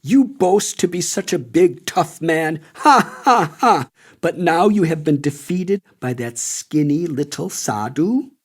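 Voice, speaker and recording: A man's voice: on the high side, expressive, slow pace, breathy edge, pitched above the performer's own voice, full character voice. A man voicing a scornful, taunting old widow in an audiobook. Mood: mocking. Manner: taunting, jeering. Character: scornful old widow mocking a humiliated bully. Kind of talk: audiobook character voice.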